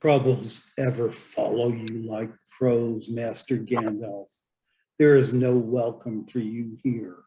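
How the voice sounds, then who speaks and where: A man's voice: mid-range voice, level tone, slow, warm tone. A man playing a weary, unwelcoming aged king in a script read, grave in manner, in an echoing room. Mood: cold, weary. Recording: roomy echo.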